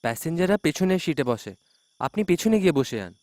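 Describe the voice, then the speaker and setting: A jumpy, superstitious autorickshaw driver from a horror folktale, acted by a man. He has a high-pitched male voice and an exclamatory way of muttering to himself. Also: big pitch swings, fairly quick, darkish tone, dry recording. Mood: puzzled, practical.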